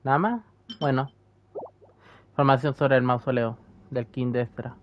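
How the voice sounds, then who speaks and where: A man's voice: medium pitch, fairly even intonation, moderate pace. A man giving casual, offhand, muttered commentary as he plays a video game. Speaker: gaming streamer. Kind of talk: casual Spanish-language gameplay commentary. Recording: dull lo-fi microphone. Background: game music.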